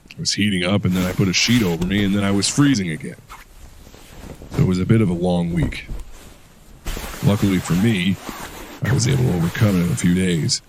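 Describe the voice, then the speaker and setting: An actor playing a veteran male super-soldier who calmly recounts his past in an audio drama. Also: a little hiss or hum. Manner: steady recounting, low-key storytelling.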